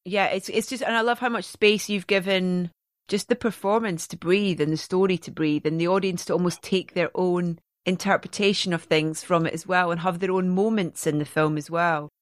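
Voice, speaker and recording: A woman's voice: fairly low pitch, steady tone, normal speed, no echo, studio quality. A Scottish woman hosting a podcast interview, asking long, rambling, enthusiastic questions. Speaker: podcast host.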